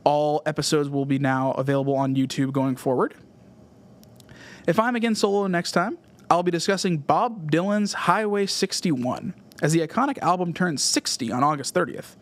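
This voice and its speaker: California guy doing a solo music podcast, voice a bit on the high side, earnest, reading off a script. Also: moderate pace. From Southern California, USA. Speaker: podcast host.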